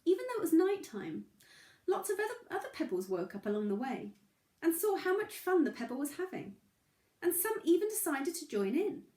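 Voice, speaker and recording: A woman tells a story with plenty of drama. Her voice is high and very lively, rising and falling expressively. The recording has a roomy echo. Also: fairly quick. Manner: animated, dramatic. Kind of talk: expressive children's story reading. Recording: echoey room.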